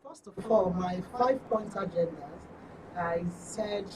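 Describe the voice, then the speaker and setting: A Nigerian woman answering interview questions as an election candidate, assertive but halting and repetitive. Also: medium pitch, animated, a crowd in the background. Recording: distant mic with clear noise.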